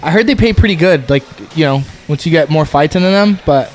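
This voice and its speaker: A man's voice: on the high side, animated, a little fast. A young man from Arizona whose first language is Spanish, a guest on a casual podcast, speaking in an easygoing, agreeable way full of fillers. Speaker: guest, MMA fighter.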